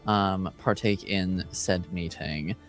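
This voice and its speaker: Slow, halting low-voiced male game master. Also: soft background music. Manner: halting, hesitant. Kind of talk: unscripted tabletop game narration.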